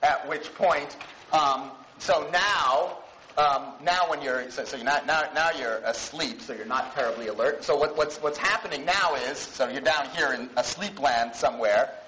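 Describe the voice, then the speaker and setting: A man giving an informal, conversational university lecture, explaining things in a halting, rambling way with frequent false starts and self-corrections. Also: medium pitch, bright, forceful tone. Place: lecture room. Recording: echoey lecture room with background noise.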